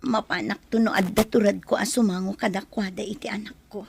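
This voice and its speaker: A woman's voice: medium pitch, steady tone, brisk, gravelly, a little mellow, slightly rough, dry recording. A woman acting an ailing, remorseful mother in an Ilocano audio drama. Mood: weary, regretful. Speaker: actor in a drama.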